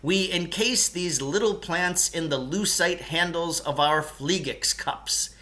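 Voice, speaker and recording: A man's voice: on the high side, slow pace, bright, forceful tone. A man voicing a long-winded, nostalgic storyteller in a children's novel, ceremonious and pompous, drawing out key words. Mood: grandly explanatory. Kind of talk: children's novel read aloud in character.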